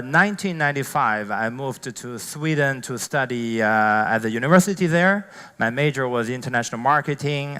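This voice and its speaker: Bright-voiced man from Beijing on stage. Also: mid-range voice. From Beijing, China. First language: Chinese. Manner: friendly, hesitant, many fillers. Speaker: conference speaker. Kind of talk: stage talk at a startup event. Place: large conference hall. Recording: long hall reverb.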